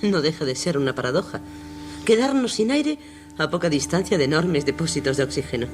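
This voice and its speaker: A woman's voice: low voice, animated, brisk, faint music behind. An actress playing a focused woman doctor who gives precise instructions in a radio drama. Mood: reasoning. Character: focused woman doctor guiding a tiny medical vessel.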